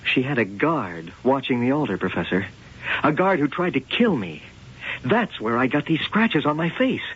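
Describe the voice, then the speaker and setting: Expressive, businesslike police lieutenant played by a man. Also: medium pitch, normal speed, close to an everyday voice. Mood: grave, insistent. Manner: businesslike, direct. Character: matter-of-fact male police lieutenant. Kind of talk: 1980 radio drama acting. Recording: dull noisy old radio broadcast.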